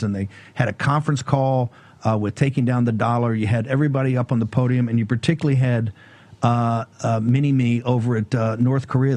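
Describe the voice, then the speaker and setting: A man hosting a political talk show, asking long run-on questions in a quick, emphatic way. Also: medium pitch, a little mellow, no echo.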